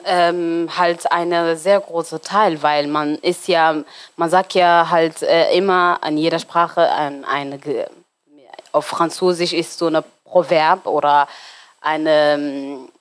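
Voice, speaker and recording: Low-voiced young woman from Somalia. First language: not German. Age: 20s. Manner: hesitant, searching for words with many fillers. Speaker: interview guest. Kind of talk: casual interview talk.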